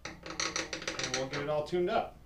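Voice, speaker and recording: A man's voice: on the high side, slow pace, distant mic. Brief: male luthier, easygoing walkthrough, roomy sound, mic set back, faint background hum. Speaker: luthier demonstrating a build. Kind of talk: workshop walkthrough.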